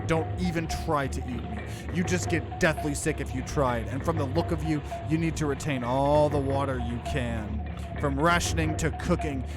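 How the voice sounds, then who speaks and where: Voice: mid-range voice, lightly acted. A let's play host voicing a blunt, scolding talking potato who lectures sardonically in a visual novel. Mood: scornful. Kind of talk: acted visual novel reading.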